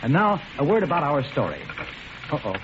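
A man's voice: fairly high pitch, sing-song, brisk. A man hosting a scripted 1940s radio show in a jaunty, folksy, slangy announcing style. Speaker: program host and announcer. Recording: muffled, noisy old radio broadcast.

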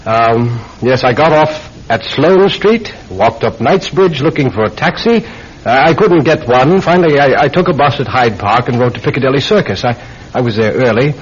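Husky, distraught military airman. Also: medium pitch, animated. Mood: weary, shaken. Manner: halting, stammering. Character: anguished military airman confessing to a killing. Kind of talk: old-time radio drama acting. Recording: dull old radio recording.

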